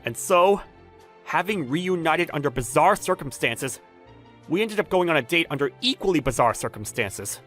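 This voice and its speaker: A man's voice: a little high, expressive, moderate pace, fairly bright tone. A man playing a flustered, jilted bachelor who narrates his awkward romance with dramatic, exasperated inner monologue in a manga dub. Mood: reflective narration. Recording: background music under the voice.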